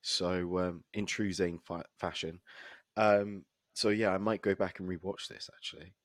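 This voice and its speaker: A man with a hoarse, husky voice co-hosting a casual podcast and laughing often between remarks. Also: deep voice, steady tone, moderate pace, dry recording, clean studio sound. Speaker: podcast co-host. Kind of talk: casual chat.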